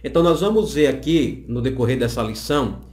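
A man's voice: mid-range voice, lively intonation, brisk, a little mellow. A Brazilian man in his thirties explaining a Bible lesson in a didactic way, repeating phrases for emphasis. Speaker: Bible teacher. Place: small indoor office. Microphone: condenser microphone on the desk. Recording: roomy, echoing small office.

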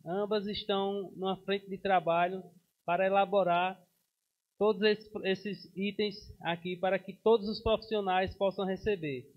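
A man in his thirties, a city councilman giving a formal speech at a podium microphone in a council chamber, with steady delivery and frequent hesitations. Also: sits high, normal speed, highs cut off. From Brazil.

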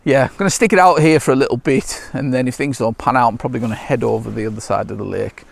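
A middle-aged British man chatting casually to camera outdoors in a relaxed, unscripted, slightly hesitant way. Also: medium pitch, quick pace, creaky. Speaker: landscape photography vlogger. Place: outdoors by a lake shore.

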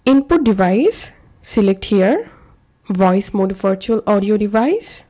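Slow, mellow woman giving tutorial instructions. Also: medium pitch, expressive. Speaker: tutorial presenter. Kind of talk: step-by-step software tutorial narration.

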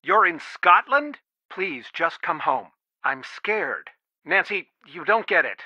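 A man's voice: a little high, lively intonation, normal speed, bright, forceful tone, no echo. An actor playing a worried, protective middle-aged father in a video game, earnest and pleading, heard through a telephone filter. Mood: upset, pleading. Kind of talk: naturalistic video game dialogue, one side of a phone call. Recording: dry, band-limited phone-like sound.

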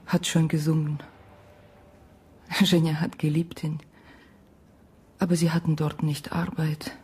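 Weary immigrant woman, Ukrainian accent, broken German, dark breathy voice, slow, gentle, radio drama. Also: low-pitched, exaggerated voice. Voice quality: husky, breathy voice. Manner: halting, broken German with simple syntax. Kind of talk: naturalistic radio drama acting.

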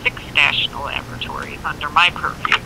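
An American government records officer explaining things informally and haltingly over a phone speaker, in a helpful way. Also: medium pitch, steady tone, creaky. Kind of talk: casual explanatory phone conversation. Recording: noisy speakerphone call picked up outdoors.

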